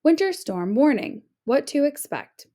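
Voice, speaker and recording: A woman reading an informational children's book aloud like a teacher, with clear, deliberate phrasing. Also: sits high, big pitch swings, mellow.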